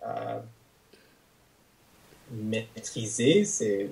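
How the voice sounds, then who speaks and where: Canadian french guy talking slow, lots of restarts, mellow low-key voice. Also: expressive, gravelly. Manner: hesitant, many restarts and fillers, searching for words. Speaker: podcast guest, polyglot. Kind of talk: casual conversation.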